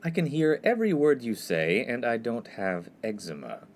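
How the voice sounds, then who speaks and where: Man acting earnest young freshman, animated voice. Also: medium pitch, moderate pace, slight background noise. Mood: flustered. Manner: earnest, polite, pedantic. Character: earnest, prim, exasperated young college freshman. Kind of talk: comedy radio play acting.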